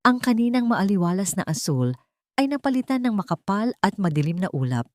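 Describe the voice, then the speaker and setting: A woman's voice: fairly low pitch, darkish tone, no echo, studio quality. A woman with a Filipino accent reading a dramatic romance story as a scripted narration.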